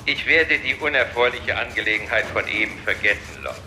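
An actor playing a condescending, falsely polite police director in a German radio crime drama. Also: male voice, lowish voice, brisk, pushed and bright, faint music behind. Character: smooth-talking, condescending male police director.

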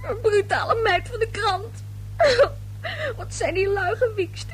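A woman's voice: extremely high pitch, steady tone, unhurried, slight background noise. An actress playing a nervous, easily upset young woman, a stammering and defensive daughter in a radio play. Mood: tearful, upset.

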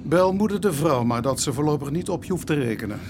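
Weary police inspector, dry and brisk, slightly high voice, very lively intonation, radio play. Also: male voice, background noise. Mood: businesslike, dry. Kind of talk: Dutch radio drama acting.

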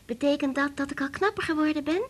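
A woman playing a bright, chatty, trusting young girl in a radio drama, with earnest childlike phrasing. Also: sits high, fast, close to an everyday voice. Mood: eager.

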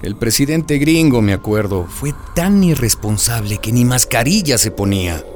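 A man's voice: medium pitch, lively intonation, moderate pace, darkish tone, a little hiss or hum, close to an everyday voice. An actor playing a plain-spoken man recalling the pandemic in a radio drama, reflective, emphatic and indignant. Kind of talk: dramatized first-person narration.

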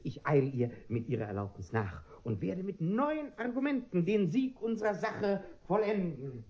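An actor playing a pompous, self-satisfied philosopher tutor in a comic radio play, lecturing in an oily, pedantic manner. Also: male voice, high-pitched, big pitch swings, moderate pace, a little mellow. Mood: triumphant, pedantic. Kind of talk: German radio play comedy acting. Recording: muffled radio drama recording.

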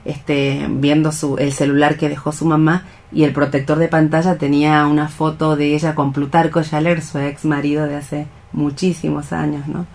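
Deep-voiced woman recounting. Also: little pitch movement, normal speed, few pauses, a little mellow. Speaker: radio programme co-host. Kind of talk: casual radio conversation, recounting. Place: radio programme conversation.

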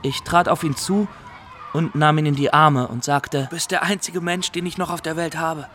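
Earnest, higher-voiced young pirate storyteller. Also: male voice, fairly even intonation, moderate pace, pushed and bright, a little hiss or hum. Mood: solemn, earnest. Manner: earnest, formal, addresses listener directly. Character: earnest young Somali graduate turned pirate, naively solemn storyteller. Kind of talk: radio play first-person storytelling.

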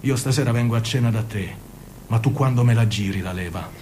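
An actor playing a smooth-talking male political candidate who coaxes and persuades with a chuckle. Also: mid-range voice, a little fast, mellow, a little hiss or hum, lightly acted. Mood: wheedling. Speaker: radio drama actor. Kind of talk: radio play acting.

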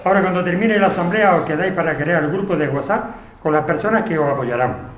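A man's voice: a little high, quick pace, roomy sound, a little hiss or hum, lightly acted. A man playing an orderly chair of a neighbours' housing assembly in a radio drama. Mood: businesslike. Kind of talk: amateur radio drama acting.

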